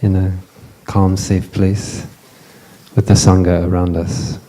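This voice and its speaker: Soft-toned man introducing a speaker. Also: low voice, little pitch movement, takes it slowly, rough recording. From Western country. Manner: hesitant, many fillers and restarts. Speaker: retreat panel moderator. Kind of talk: casual introduction to a gathering.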